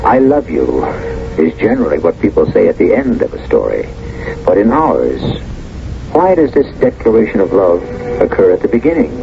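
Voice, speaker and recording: A man narrating a 1970s radio mystery as an urbane host, musing in a measured, theatrical way. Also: low-pitched, huge pitch swings, vocal fry, full character voice. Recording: dull old radio recording with music.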